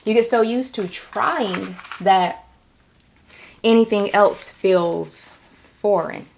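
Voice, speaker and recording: A woman vlogging casually and reflectively, sounding nervous, with halting speech that circles back and repeats phrases for emphasis. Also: medium pitch, vocal fry. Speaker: vlogger, writer and storyteller. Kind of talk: casual, reflective vlog talk.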